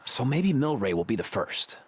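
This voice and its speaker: A man's voice: mid-range voice, very animated, normal speed, natural voice. A man playing a laid-back, friendly young veterinarian in a video game, talking in breezy dude slang. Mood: reflective.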